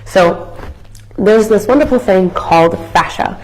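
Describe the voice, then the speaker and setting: A young woman fitness instructor with a raspy, hoarse voice from being sick, chatting through a live workout class in an educational way with many fillers. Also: mid-range voice, expressive, a little slow, faint music behind, rough recording. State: hoarse from illness.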